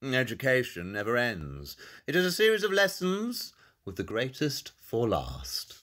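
An actor playing a brilliant, self-assured detective in an audio drama, speaking in a precise, theatrical, condescending way. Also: male voice, medium pitch, huge pitch swings, unhurried, a bit bright. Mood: wry.

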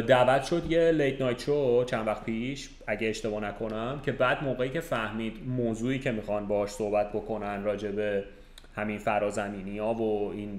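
A man hosting a podcast in a casual, chatty conversational style. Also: lowish voice. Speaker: podcast host. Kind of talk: casual chat. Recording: echoey, roomy sound.

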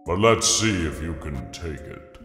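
Man voicing a booming-deep, reverberant old God. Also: soft background music, exaggerated voice. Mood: unimpressed. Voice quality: deep, measured. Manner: calm, unhurried, wryly patronising. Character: calm, unflappable bearded old male God, gently condescending. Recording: echoey voice with long reverb.

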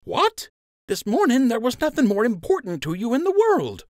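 A man's voice: very high-pitched, wildly swooping pitch, normal speed, a little mellow, no room sound, clean studio sound, pitched above the performer's own voice, exaggerated voice. A man voicing a loyal young male rabbit friend in an audiobook, eager, teasing and insistent. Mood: urgent. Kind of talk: audiobook character acting.

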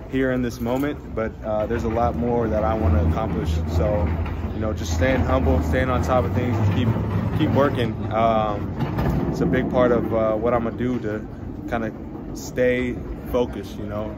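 A young man, a college basketball player, answering reporters after a game in a casual, rambling way full of fillers. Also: on the low side. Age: young adult. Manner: relaxed, rambling, many fillers and restarts. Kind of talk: postgame media scrum. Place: arena hallway. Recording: crowd noise in arena hallway.

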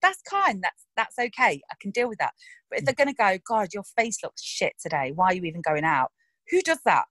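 A woman's voice: mid-range voice, very animated, a little fast, a bit bright, no room sound. A woman, an actress talking frankly and animatedly in a casual interview, swearing freely and laughing often. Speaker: actress discussing her roles.

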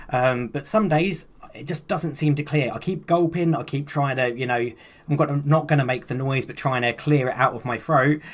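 A young man, chatting casually to camera from a small room about his home remedies, rambling and poking fun at himself. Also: mid-range voice. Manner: chatty, rambling, self-deprecating. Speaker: vlogger.

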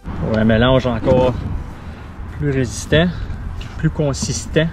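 A man's voice: mid-range voice, slow pace, over music. A man in his thirties with a Québécois French accent, casually talking through a fiberglass repair step by step while he works outdoors in a boatyard. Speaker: sailing vlogger. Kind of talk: hands-on repair demo. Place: outdoor boatyard under a hull. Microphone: action camera close up.

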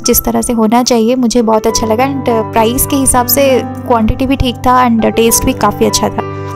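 A young woman food vlogger, chatting casually about a restaurant and its dishes, mixing English words into her talk with frequent "uh" pauses. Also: on the high side. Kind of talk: casual restaurant review voice-over. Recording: music playing under the voice.

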